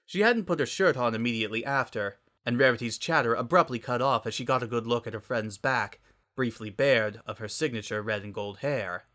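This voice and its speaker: A man's voice: medium pitch, brisk, bright, forceful tone. A man reading scripted fiction aloud as a dramatic narrator.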